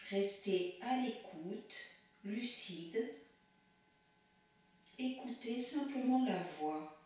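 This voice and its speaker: Female yoga nidra guide, unhurried and calm, medium pitch, recorded in a strongly reverberant room. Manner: calm, measured, instructing in short pauses. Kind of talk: guided relaxation instruction. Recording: very echoey room, distant microphone.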